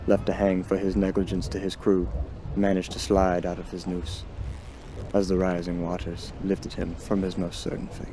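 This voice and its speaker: A man's voice: low voice, warm tone, a little hiss or hum. A man giving a dramatic scripted narration of a horror story in an ominous, theatrical manner. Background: music under the voice.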